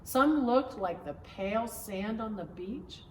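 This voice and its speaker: Slow-paced woman storyteller in an echoey room. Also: mid-range voice, animated. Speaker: pastor. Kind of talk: children's story read-aloud. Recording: roomy echo, distant mic, faint noise.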